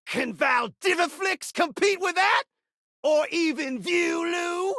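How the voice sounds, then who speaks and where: A man's voice: very high voice, slow pace, creaky, bright, forceful tone, no room sound, clean studio sound, heavily acted. A man voicing a flirtatious, hyper talking television in a video game, a brash showman speaking in theatrical rhymes. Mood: excited, flirty showboating. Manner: brash showman, rhyming catchphrases.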